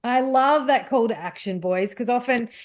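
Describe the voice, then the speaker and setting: Higher-voiced woman hosting an interview. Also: normal speed. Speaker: podcast host, interviewer. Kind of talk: casual conversational interviewing.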